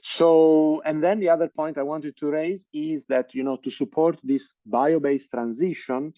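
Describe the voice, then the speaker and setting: Italian man lecturing online, mellow voice. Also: a little high, steady tone, a little slow, dry recording, dull audio. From Italy. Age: 30s. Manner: hesitant, many fillers and restarts.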